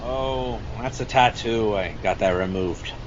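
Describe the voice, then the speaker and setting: A man's voice: fairly low pitch, measured pace, close to an everyday voice. A man playing a weathered, hard-drinking old shark hunter and haunted war veteran in an amateur audio adaptation of a film scene, with a salty, folksy storytelling manner. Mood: subdued. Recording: dull, noisy lo-fi recording.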